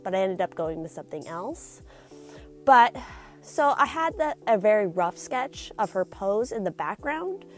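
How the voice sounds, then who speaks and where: A young woman with a stuffy, nasal voice from a cold, chatting casually through a voice-over for her painting video, with lots of fillers and restarts. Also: medium pitch.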